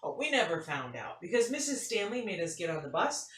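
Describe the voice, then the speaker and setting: A woman's voice: fairly low pitch, sing-song, brisk, a bit bright. A woman voicing a nonchalant, matter-of-fact schoolgirl telling her tale in a picture-book read-aloud. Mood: matter-of-fact, animated. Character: nonchalant schoolgirl recounting an unbelievable farm trip. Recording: roomy, faint noise, distant mic.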